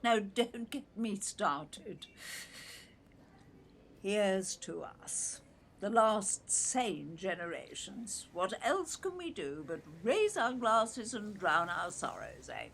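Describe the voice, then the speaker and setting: A woman's voice: mid-range voice, sing-song, slow, a bit bright, full character voice. An actress playing an imperious, talkative older English mother in an audio play, with a haughty, theatrical manner. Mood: grandly lamenting. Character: imperious, talkative older mother, grandly aggrieved, gin-drinking. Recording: clear background noise, outdoor ambience.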